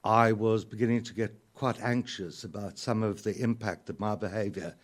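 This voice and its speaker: A middle-aged or older man with a South African accent, talking reflectively as a radio interview guest in thoughtful, halting, measured phrases. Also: lowish voice, fairly even intonation. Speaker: interview guest, author and lyricist.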